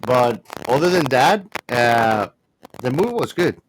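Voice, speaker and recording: A man in a casual film discussion, giving blunt opinions in a halting, wordy way with some swearing. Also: medium pitch, animated, noisy background. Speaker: podcast co-host discussing a film.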